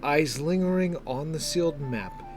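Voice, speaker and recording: A man's voice: a little high, normal speed, a little mellow, faint music behind. A man narrating a scripted fantasy story in a dramatic style.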